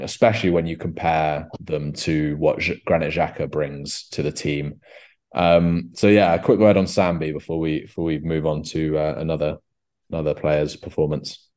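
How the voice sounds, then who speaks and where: A young man with an extremely deep, low voice hosts a relaxed football podcast. He rambles through long points, full of ums, restarts and asides. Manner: rambling, many restarts and fillers. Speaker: podcast host. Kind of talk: casual football podcast chat.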